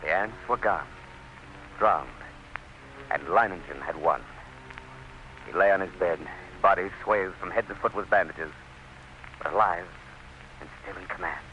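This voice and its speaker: An actor playing a sober commissioner who narrates with grave, measured drama in an old-time radio play. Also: male voice, sits low, pushed and bright, slight background noise. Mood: grave, relieved. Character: sober male commissioner recounting a harrowing rescue. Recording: muffled vintage radio recording. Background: music.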